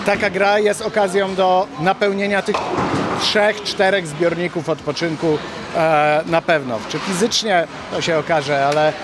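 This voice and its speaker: A man in his forties, a team psychologist, calmly explaining in an interview at a bowling alley, into a handheld mic. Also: high voice. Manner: calm, measured explanations. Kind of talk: on-camera interview. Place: noisy bowling alley indoors. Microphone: handheld reporter mic. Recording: noisy venue background.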